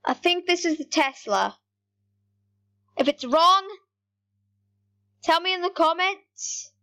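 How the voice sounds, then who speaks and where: A woman with a high voice gives casual, rambling commentary over her gameplay. She speaks at an unhurried pace and chats excitably to her viewers as she plays. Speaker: gaming YouTuber.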